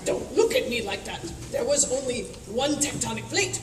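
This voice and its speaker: A woman's voice: high-pitched, sing-song, normal speed. A woman playing an imperious, grandiose underground ruler in a comic radio play, haughty and defensive. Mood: defensive, boastful. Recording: echoey distant hall, clear noise.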